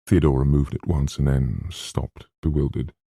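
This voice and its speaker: A man's voice: extremely low pitch, flat delivery, normal speed, vocal fry, warm tone, husky, dry recording, studio quality. A man reading literary narration from a script as an audiobook narrator.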